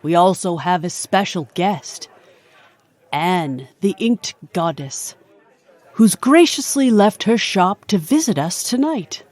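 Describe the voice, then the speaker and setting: A woman's voice: lowish voice, lively intonation, a little mellow, no echo. A woman playing a regal, composed queen of a supernatural council, speaking formally in an audio drama. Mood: gracious, stately. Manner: formal, measured, stately.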